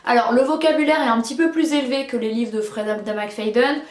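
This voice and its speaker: A woman's voice: a little high, steady tone, fast talker, reverberant. A French-speaking woman reviewing books in casual, chatty talk, full of fillers and restarts. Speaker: book reviewer. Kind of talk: casual book review chat.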